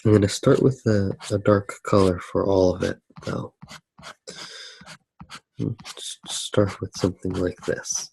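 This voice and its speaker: A soft-spoken man musing hesitantly through a drawing demonstration in ASMR style. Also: low-pitched, flat delivery, takes it slowly, creaky, slightly rough, poor audio. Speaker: ASMR artist.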